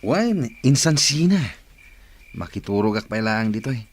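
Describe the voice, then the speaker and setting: A man's voice: mid-range voice, sing-song, normal speed, natural voice. An actor playing an evasive, wheedling male cousin in an Ilocano comedy radio drama, with an Ilocano accent. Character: evasive male cousin begging a place to sleep. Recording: background noise and ambience.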